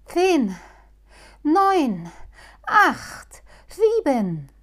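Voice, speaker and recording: A woman voicing a frantic, whiny little male gnome who keeps pleading in a high voice. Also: unhurried, fairly bright tone. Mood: tense counting. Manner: pleading and panicky.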